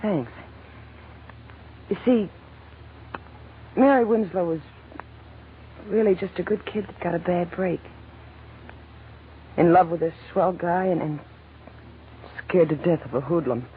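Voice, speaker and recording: Casting: actress for evasive nightclub singer, slangy and guarded, 1940s radio style over faint hiss. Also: female voice, fairly low pitch, unhurried, long pauses, lightly acted. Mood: guarded, pleading. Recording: narrow, noisy old radio recording.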